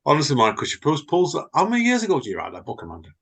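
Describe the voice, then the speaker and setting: A man hosting an informal writers' readaround, chatting and reading his own flash fiction in a husky voice. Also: a little high, wildly swooping pitch, rapid-fire, fairly bright tone.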